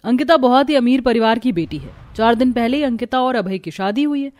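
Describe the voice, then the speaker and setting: A woman reads a scripted family story as narrator. Her voice is fairly high, and the recording is dry with very little room sound. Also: expressive, brisk, a little mellow, no echo, soft background music.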